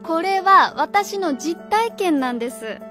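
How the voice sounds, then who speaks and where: A woman's voice: extremely high pitch, expressive, slow, heavily acted. A woman playing an earnest, proud, idealistic schoolteacher in a radio drama, speaking formally and with indignation. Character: earnest, proud, idealistic female junior high school teacher. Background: music.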